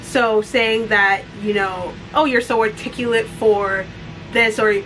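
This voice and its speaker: A woman's voice: fairly even intonation, unhurried, fairly bright tone, poor audio. A young woman co-hosting a casual chat, speaking articulately and laughing easily. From Canada. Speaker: co-host. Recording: slight noise with low rumble.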